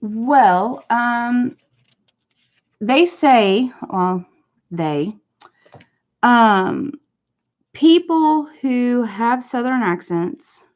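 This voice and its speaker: A woman's voice: a little high, expressive, extremely slow, long pauses, darkish tone. A woman with a northern US accent chatting casually on a livestream, rambling and laughing often. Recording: dull lo-fi stream audio.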